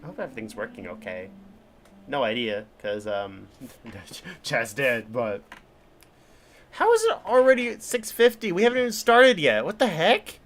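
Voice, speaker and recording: A man's voice: medium pitch, sing-song, normal speed, a bit bright, a little hiss or hum. A man giving casual live commentary as a game streamer, rambling and self-deprecating. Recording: livestream with faint game music.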